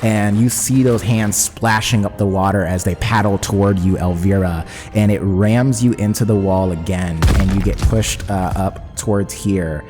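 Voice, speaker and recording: A man's voice: lowish voice, fairly even intonation, normal speed, few pauses, music in the background. A man narrating a tabletop roleplaying game as game master, describing the scene dramatically.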